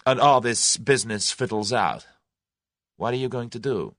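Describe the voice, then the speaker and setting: A man voicing a brash, direct young American suitor in an audiobook, forceful and slangy. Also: medium pitch, moderate pace, no echo, studio quality, pitched above the performer's own voice, natural voice. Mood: blunt. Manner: forceful, plain-spoken, slangy. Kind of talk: audiobook character voice.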